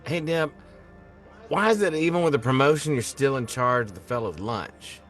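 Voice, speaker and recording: A man's voice: mid-range voice, animated, moderate pace, a bit bright, soft background music, close to an everyday voice. Cocky, ribbing guy, acted by a man. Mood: teasing. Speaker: actor at a table read. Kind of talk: film script table read.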